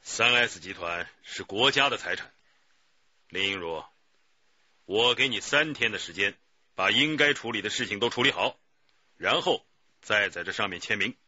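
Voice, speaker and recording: An actor playing a grave, righteous middle-aged police chief in a radio drama, with a husky voice. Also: male voice, lowish voice, pushed and bright, no echo, muffled recording, full character voice. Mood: stern. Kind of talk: Chinese radio drama acting.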